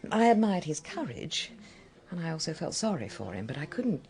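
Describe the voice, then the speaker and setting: Low, expressive confiding Englishwoman. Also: normal speed. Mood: guarded, candid. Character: adult female office colleague, confiding, regretful, gently forward. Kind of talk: naturalistic British radio drama acting.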